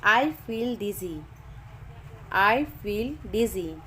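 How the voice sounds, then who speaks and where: Woman, English teacher, slow measured delivery, repeat-after-me drill. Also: medium pitch, expressive, sharp tone, slight background noise. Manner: reads each sentence twice, measured and deliberate.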